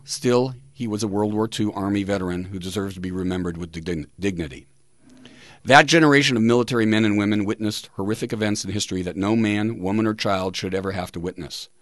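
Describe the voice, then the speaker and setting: A man hosting a radio talk show, reading aloud with pauses for emphasis in a studio, close to the microphone. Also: lowish voice, no room sound. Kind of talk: reading listener tributes and quotes on a talk show.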